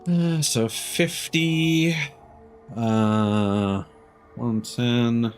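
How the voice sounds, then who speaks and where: An unhurried streamer talks through a video game in a casual, sweary way, tossing out sardonic jokes. The pitch is medium and game music plays faintly behind. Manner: sardonic, joking asides. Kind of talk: live gameplay commentary, casual and profane. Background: video game music and sounds.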